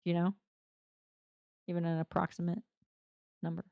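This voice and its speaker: Low, gravelly woman getting hoarse. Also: monotone, moderate pace, dry recording, narrow, tinny audio. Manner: rambling, talkative, self-deprecating. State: voice going hoarse after hours of talking. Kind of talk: casual chat while playing a game.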